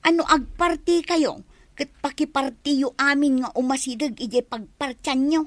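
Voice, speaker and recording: An actress with an Ilocano accent playing a disapproving, God-fearing village wife in a comedy radio drama. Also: female voice, high voice, normal speed, no room sound, lightly acted. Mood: scolding.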